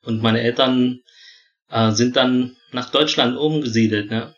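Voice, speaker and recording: Man, thirties, interview guest telling his own story; bright medium-pitched voice, halting conversational delivery. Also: steady tone, lo-fi sound. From born in Vietnam, grew up in Germany. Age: 30s.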